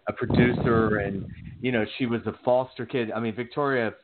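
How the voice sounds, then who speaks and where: A man's voice: mid-range voice, fairly even intonation, a little fast, heavy background noise. A man co-hosting a casual talk show, chatty, asking long rambling questions. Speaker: co-host. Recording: tinny phone line.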